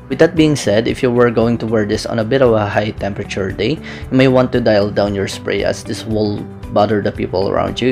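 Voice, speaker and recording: A young man narrating a scripted fragrance review in a steady, methodical, descriptive way, recorded indoors on camera. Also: on the low side. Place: small indoor room with brick-pattern wall. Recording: clear background music under voice. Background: background music.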